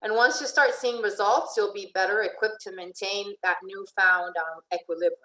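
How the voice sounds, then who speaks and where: A woman's voice: mid-range voice, fairly even intonation, normal speed, sharp tone. A woman who grew up in Venezuela, a life and relationship coach giving an informal online presentation. She talks in a chatty way, often restarting her sentences and checking in with "right?". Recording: echoey room.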